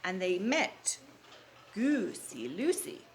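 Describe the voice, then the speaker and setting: A woman kindergarten teacher reading a storybook aloud to young children, prompting them with questions and repeating deliberately. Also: medium pitch, sing-song. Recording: faint background room noise.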